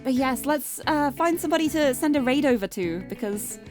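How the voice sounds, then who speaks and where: A young woman streamer chatting casually and cheerfully, her voice getting a little hoarse and tired. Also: high voice, lively intonation, a little fast, darkish tone. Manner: bubbly, chatty, restarts words often. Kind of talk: casual live-stream chat. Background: background game music.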